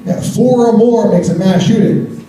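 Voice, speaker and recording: A man's voice: sits high, normal speed, dark tone. An American man performing a stand-up comedy set on stage in front of a live audience, talking in a wry, conversational way and chuckling at his own jokes. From United States. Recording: roomy theater sound, mic at a distance.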